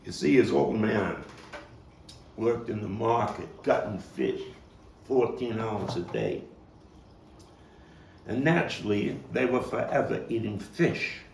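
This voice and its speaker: Man acting a gravelly, wry Brooklyn street storyteller. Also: fairly low pitch, lively intonation, full character voice. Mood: wry, nostalgic. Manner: dramatic storytelling with pauses and emphatic swells. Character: streetwise Brooklyn man reminiscing about his boyhood gang, coarse and wry. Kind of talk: theatrical monologue, table read. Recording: roomy, distant mic, faint noise.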